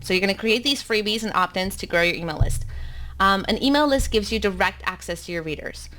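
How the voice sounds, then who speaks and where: A woman in her thirties with a South African accent, Afrikaans her first language, teaches blogging in a live webinar from her home. Her voice sits at a mid pitch, and she talks in a chatty, upbeat way, filling pauses with frequent ums. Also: bright, forceful tone, slight background noise.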